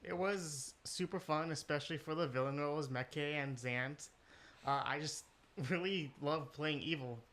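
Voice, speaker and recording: A man's voice: on the high side, a little slow, fairly bright tone. A man on a voice actor panel speaking enthusiastically about playing villains. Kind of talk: casual panel chat.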